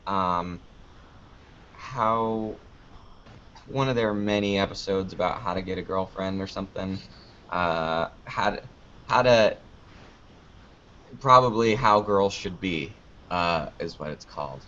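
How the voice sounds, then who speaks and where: A man with a fairly low voice answers interview questions casually. He talks in a joking, anecdotal way and voices bits of remembered conversations. The sound is somewhat dull and lo-fi. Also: slow pace, long pauses, fairly bright tone, slight background noise. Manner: joking, anecdotal, acts out quoted exchanges. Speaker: podcast producer and video creator being interviewed. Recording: dull lo-fi audio.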